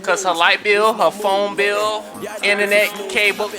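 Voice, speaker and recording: A man's voice: sits high, level tone, a little slow, over music. Slangy joking man hosting an interview. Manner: loose, joking, slangy, talks to the viewers. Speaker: interviewer host.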